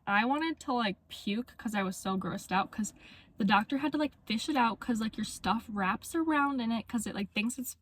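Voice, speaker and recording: A woman's voice: medium pitch, no room sound. A young woman vlogging to camera in a casual, chatty way, full of "like" and "um," her voice a little congested from a cold. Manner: chatty, filler-heavy with frequent "like" and "um". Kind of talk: casual talking-to-camera vlog.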